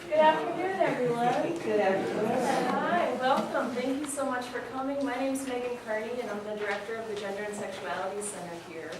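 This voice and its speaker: A woman's voice: a little high, a little fast. A woman giving a welcome speech to an audience as director of a center hosting the event. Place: large indoor room at a public event. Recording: echoey, noisy hall from far off, crowd. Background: audience chatter and room noise.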